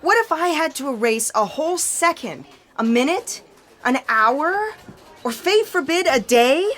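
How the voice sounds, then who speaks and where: Bright, imperious female editor-in-chief. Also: high-pitched, lively intonation, a little slow. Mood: exasperated. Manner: imperious, theatrical. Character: bossy, exasperated female editor-in-chief of destiny's book. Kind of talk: comic sci-fi audio theatre.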